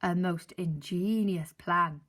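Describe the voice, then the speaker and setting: A woman voicing a calm, agreeable old lighthouse keeper who speaks in a slow, stately, approving way. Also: lowish voice.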